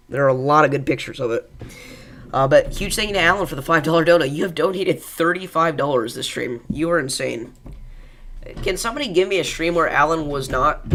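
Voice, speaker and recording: A young man with a stuffed-up, congested voice and a gravelly edge, hosting a live weather stream. He rambles casually with fillers, thanking viewers between forecast updates. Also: a little high, a bit bright, a little hiss or hum. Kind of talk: live weather coverage, reading chat.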